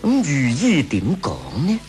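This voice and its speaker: A man's voice: fairly high pitch, huge pitch swings, darkish tone. A male actor with a Hong Kong Cantonese accent playing an obsequious, scheming court eunuch in a radio drama. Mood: fawning. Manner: obsequious, drawn-out and swooping. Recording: radio drama with faint music.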